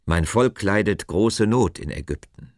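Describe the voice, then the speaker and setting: A man's voice: lowish voice, expressive, measured pace, no room sound, studio quality, voice pushed up. A man voicing God as a stern, commanding deity in a Bible story, speaking solemnly and emphatically. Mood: solemn, resolute.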